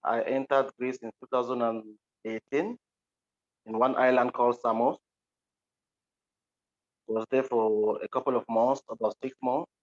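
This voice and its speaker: Man, Cameroonian accent, average pitch, plain-spoken, hesitant, personal account, muffled online audio. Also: level tone, measured pace, pauses often. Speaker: interview guest, interpreter. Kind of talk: recounting personal experience in an online panel. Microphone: video call.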